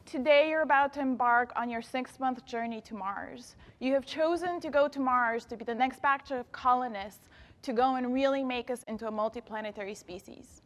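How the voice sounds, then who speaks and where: A woman in her thirties gives an enthusiastic talk to students, speaking straight to her listeners. Her voice sits a little high. The sound rings with the long echo of an event hall. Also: normal speed. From Bulgaria. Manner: enthusiastic, addresses audience directly. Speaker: engineer and planetary scientist speaking to students. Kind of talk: inspirational talk to youth.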